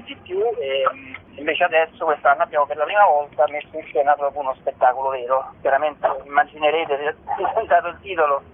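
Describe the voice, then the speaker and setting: A man's voice: a little high, slight background noise. A man speaking as an interview guest on a radio show, explaining at length in a discursive way over a telephone. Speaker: musician and theatre author, interview guest. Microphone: phone call to a radio show. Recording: narrow telephone-band sound.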